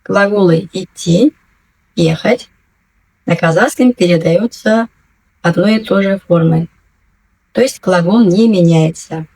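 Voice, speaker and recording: Slow, patient female language teacher. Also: lowish voice, darkish tone, rough recording. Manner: patient, clearly enunciated, repeats each word twice. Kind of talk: slow, careful language lesson.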